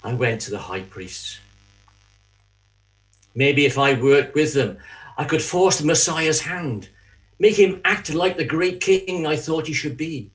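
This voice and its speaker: A man playing a remorseful betrayer in a dramatic church monologue, halting, anguished and confessional as he pleads. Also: on the high side, animated, low-quality recording. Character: Judas, remorseful betrayer haunted by guilt, pleading.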